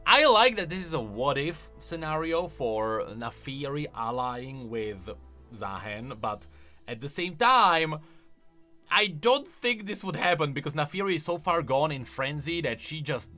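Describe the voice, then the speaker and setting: A man with a fairly high, bright voice talks casually like a gaming YouTuber. His delivery swings up and down in lively fashion as he explains and gives his opinions. Faint music plays underneath. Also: unhurried. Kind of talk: casual commentary on game voice lines.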